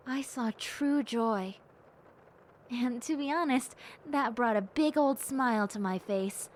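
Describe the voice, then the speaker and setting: Woman voicing a gentle, high-voiced, unhurried bat girl. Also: slight background noise. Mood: wistful, reflective. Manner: soft-hearted, earnest, reflective, unhurried. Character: gentle, cheerful, earnest young female bat girl. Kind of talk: intimate audio roleplay, speaking to the listener.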